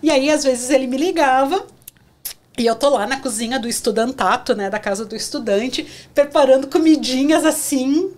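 Animated southern Brazilian woman, higher voice. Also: moderate pace, slight background noise. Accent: southern Brazilian (gaúcho). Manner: animated storyteller, acts out scenes. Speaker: guest, career counselor. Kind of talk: casual podcast interview.

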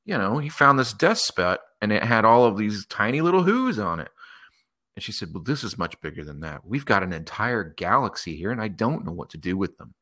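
A man improvising a story aloud in an animated way, acting out the dialogue as he goes. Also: fairly low pitch, fairly quick. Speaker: host.

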